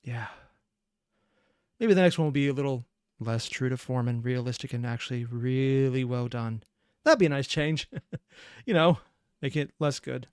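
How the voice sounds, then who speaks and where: Casual commentary host, medium-pitched, invested and halting, in a dry close recording. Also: a little mellow, clear, resonant tone, no room sound. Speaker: reaction video host.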